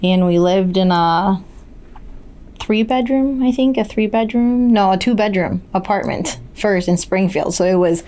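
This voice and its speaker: A woman's voice: mid-range voice, unhurried, warm tone. A woman from Puerto Rico whose first language is Spanish, earnestly telling her life story as a podcast guest. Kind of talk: casual interview.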